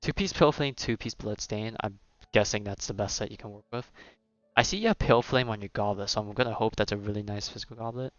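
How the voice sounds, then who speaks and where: A man with a fairly low voice chats casually on a game stream, rambling as he thinks aloud. The sound is dry and slightly dull, with faint music behind him. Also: fast, no room sound. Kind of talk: casual livestream gameplay commentary.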